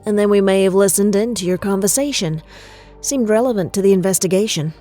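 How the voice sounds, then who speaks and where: An actress playing a calm, conciliatory FBI agent with a measured manner in an audio drama. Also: female voice, mid-range voice, faint music behind, lightly acted. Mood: composed. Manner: measured, reassuring.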